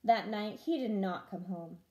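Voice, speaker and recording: A woman teacher reading a children's storybook aloud in an expressive storytelling style. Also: mid-range voice, a little slow.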